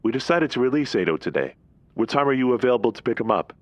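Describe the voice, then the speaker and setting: An actor playing a matter-of-fact hospital staffer in an audio drama, speaking formally and clinically. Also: male voice, mid-range voice, lively intonation, fast talker, no room sound, lo-fi sound, close to an everyday voice. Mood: clinical, businesslike.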